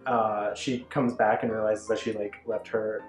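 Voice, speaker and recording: A woman with a strikingly low voice shares her views in a casual fan review. She explains herself earnestly, softening points as she goes. The room is echoey, with faint music underneath. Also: level tone, moderate pace. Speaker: review show panelist. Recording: roomy echo, faint background music.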